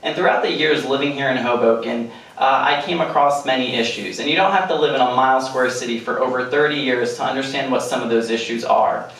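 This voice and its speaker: A man from Italy running for city council, giving a smooth, prepared self-introduction. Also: mid-range voice, fairly quick, a bit bright. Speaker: political candidate. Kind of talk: opening statement at a debate. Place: theater stage before a live audience. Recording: echoey theater from far off.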